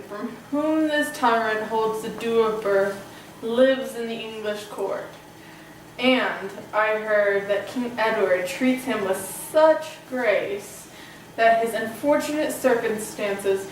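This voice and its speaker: A woman playing a nobleman who delivers grave news in a measured, solemn way. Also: medium pitch, heavy reverb, far from the mic, a little hiss or hum, close to an everyday voice, low-quality recording. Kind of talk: amateur Shakespeare performance.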